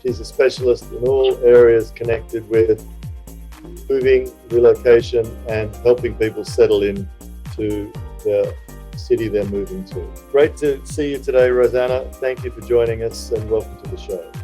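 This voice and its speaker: A man with a soft-edged, mellow voice of average pitch hosts a podcast interview. His delivery is polished and presenterly, with some music faintly behind him. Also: steady tone, normal speed. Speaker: podcast host.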